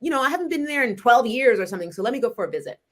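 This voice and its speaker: A woman in her forties, a novelist from Canada, talking about her books in a relaxed interview, animated and often laughing. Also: fairly high pitch, rapid-fire. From Montreal, Canada. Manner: chatty and animated, laughs often, frequent restarts. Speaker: novelist guest.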